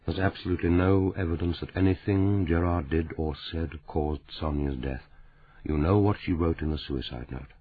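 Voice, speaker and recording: A gentle, measured man speaks firmly and calmly, as the narrator voices him. His voice is deep and husky. The sound is narrow and tinny, like a phone line.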